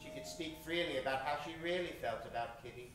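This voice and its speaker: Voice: mid-range voice, quick pace, over music. A narrator telling a story in a dramatic, theatrical old-time radio style. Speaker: narrator and announcer. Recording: very echoey live stage.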